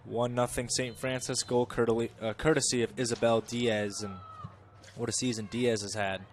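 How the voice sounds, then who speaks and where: A man giving chatty live play-by-play of a college soccer match, correcting himself and rising in pitch and volume when the play gets dangerous. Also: little pitch movement, normal speed, slight background noise. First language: English. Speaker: play-by-play commentator.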